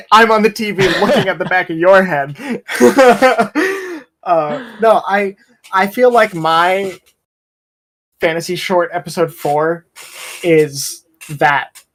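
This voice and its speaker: A man's voice: high-pitched, slow, a bit bright. A man in a casual chat, speaking in a hesitant way with phrases that trail off.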